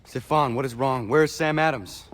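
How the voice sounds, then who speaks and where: An actor playing an earnest, level-headed young man in a video game, speaking gravely and plainly with a gravelly voice. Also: mid-range voice, moderate pace, fairly bright tone, close to an everyday voice. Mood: concerned. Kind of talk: video game cutscene acting.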